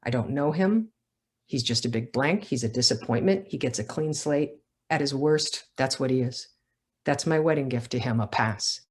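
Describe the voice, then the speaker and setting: A woman reading the part of a blunt, dismissive young sister from a novel, in a clipped deadpan. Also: a bass voice, normal speed, husky.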